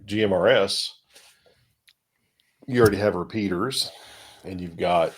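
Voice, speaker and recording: A man with a deep, slightly rough and husky voice talks casually through technical matters. He explains in a loose, rambling way, qualifying his points as he goes, like a co-host on a panel show. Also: unhurried.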